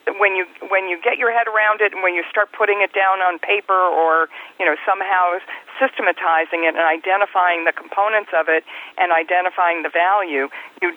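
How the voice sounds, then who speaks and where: A woman on a group call, speaking earnestly and restarting her sentences. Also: lowish voice. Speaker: coaching program participant. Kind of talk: casual chat on a group call. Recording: tinny phone line.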